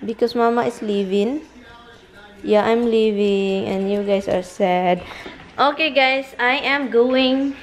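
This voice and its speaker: A woman's voice: fairly high pitch, slow. A woman in her thirties from the Philippines with a Filipino accent, chatting casually in a vlog, rambling and slipping in Filipino words, filmed on a camera held at arm's length at home and in her car. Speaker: vlogger. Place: home, then inside a car. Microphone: handheld camera at arm's length.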